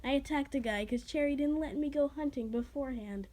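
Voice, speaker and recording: A woman's voice: high voice, fairly quick, warm tone, natural voice. A woman voicing a feisty, prickly young witch in a story reading, casual and blunt.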